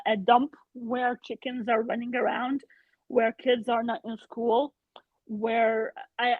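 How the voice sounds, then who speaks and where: A woman's voice: on the high side, no echo. Woman from Iraq on a tinny call. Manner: animated, frustrated, loud with many fillers. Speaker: podcast guest. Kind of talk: casual conversation. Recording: tinny phone-like line, dry.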